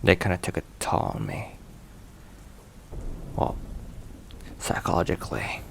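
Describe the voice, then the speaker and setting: A man playing a weary, caring mafia boss boyfriend in an ASMR roleplay, hushed and sighing between phrases. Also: sits low, very animated, unhurried, gravelly, slight background noise, heavily acted. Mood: weary, confiding.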